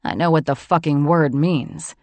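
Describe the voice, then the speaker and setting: A woman voicing a brash, blunt adult man in an audiobook who speaks low and swears. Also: steady tone, moderate pace, darkish tone, dry recording. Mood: gruff.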